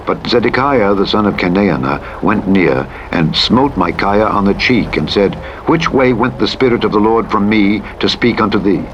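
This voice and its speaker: A man narrates scripture in a solemn, formal style, with measured and dramatic delivery. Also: fairly low pitch, animated, lo-fi sound. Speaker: audiobook Bible narrator. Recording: loud truck engine noise over voice. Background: truck engine and vehicle sounds from driving simulator game.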